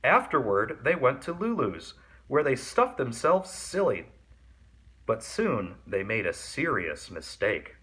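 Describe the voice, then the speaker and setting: A man reading a children's story aloud in an expressive, animated and deliberate way. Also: mid-range voice. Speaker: storybook reader.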